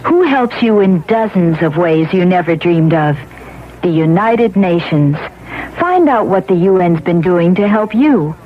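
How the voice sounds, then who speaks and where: A woman's voice: on the low side, expressive, unhurried. A woman reading a scripted public service radio advertisement as an announcer. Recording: thin phone-like radio, faint noise.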